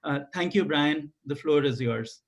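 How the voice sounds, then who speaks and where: A man's voice: a little high, unhurried. A man from India with an Indian accent, speaking earnestly on a video call, with many fillers and restarts, as he introduces a guest. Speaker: webinar moderator, professor.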